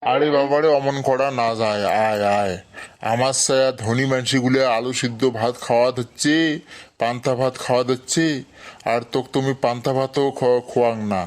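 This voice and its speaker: A man's voice: medium pitch, close to an everyday voice. A man voicing a pleading, exasperated village father in a comedy cartoon, with a North Bengal accent. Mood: coaxing. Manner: coaxing, exasperated.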